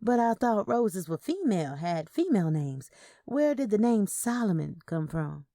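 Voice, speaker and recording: A woman's voice: medium pitch, sing-song, normal speed, warm tone, no echo, studio quality, pitched above the performer's own voice. A woman voicing a curious, sassy young Southern niece in a story, questioning and emphatic. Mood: concerned, puzzled.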